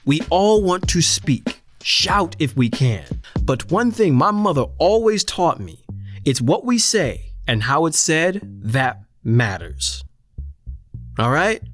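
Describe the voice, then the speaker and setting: Flashy call-in radio DJ played by an actor, slangy and smooth, very animated delivery, music bed. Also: mid-range voice. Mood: earnest, soothing. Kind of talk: radio call-in show patter in an audio drama. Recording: music under the voice.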